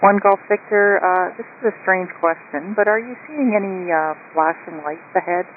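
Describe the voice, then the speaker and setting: A man's voice: high voice, normal speed, phone-quality sound. A man working as an air traffic controller, questioning pilots over a radio link. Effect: radio transmission.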